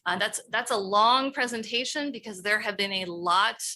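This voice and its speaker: A woman with a Virginian accent and a bright, forceful tone introduces a council presentation. She is losing her voice and talks in a casual, loose way with many fillers, at a mid pitch. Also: normal speed. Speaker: city staff presenter. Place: council chamber.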